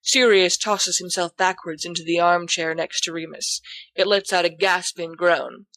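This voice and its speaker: A woman's voice: on the low side, fairly even intonation, moderate pace, a bit bright, no room sound, poor audio. A woman reading scripted fiction as the narrator of an audiobook. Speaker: volunteer audiobook reader.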